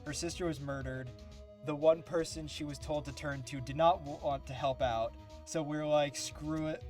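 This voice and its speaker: A man's voice: on the high side, fairly even intonation, moderate pace, a little mellow. A man hosting a live fandub stream with casual gaming banter over game music. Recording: music behind.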